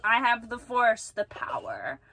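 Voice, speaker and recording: A woman's voice: a little high, big pitch swings, measured pace. A woman in her thirties chatting casually and playfully to a handheld camera about her day, with self-deprecating humor. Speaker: family vlogger, mother. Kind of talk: casual chat to camera about daily chores. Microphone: camera or phone at arm's length. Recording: handheld recording with clear background noise.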